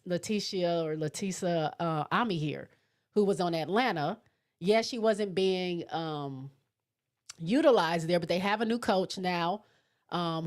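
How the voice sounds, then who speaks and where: A woman with a soft voice hosting a live sports talk stream, reading viewer comments in a chatty, laughing way. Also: mid-range voice. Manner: chatty, laughs often, restarts sentences. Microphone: mixer setup.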